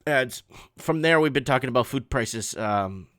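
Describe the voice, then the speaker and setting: A middle-aged man whose first language is Portuguese reads news stories aloud and comments casually as he goes. He stumbles, restarts and drifts into asides, and his voice sits at an ordinary pitch. The room sounds dry. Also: lively intonation, moderate pace, fairly bright tone, dry recording. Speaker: online news show host. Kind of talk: casual commentary reading headlines.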